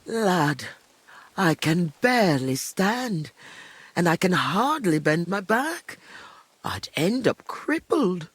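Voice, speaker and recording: An actress playing a frail, wistful old village widow in a medieval video game, speaking in a halting, fond way. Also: female voice, fairly low pitch, very animated, breathy edge, no room sound, heavily acted. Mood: wistful, weary. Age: older. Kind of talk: dramatic video game dialogue.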